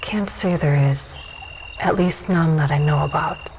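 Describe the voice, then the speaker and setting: Woman as composed, lower-voiced dusk elf warrior. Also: measured pace, darkish tone, a little hiss or hum, rough recording. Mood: composed, hospitable. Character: self-assured dusk elf warrior woman, hospitable, probing. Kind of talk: fantasy audio drama dialogue.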